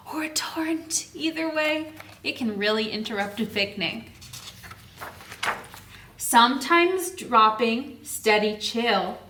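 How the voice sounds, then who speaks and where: A woman's voice: sits high, sharp tone, slight background noise. A woman leading a children's story time, reading a picture book aloud and explaining it simply, asking questions and answering them herself. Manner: simple teacherly phrasing, asks and answers own questions. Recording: echoey room, distant microphone.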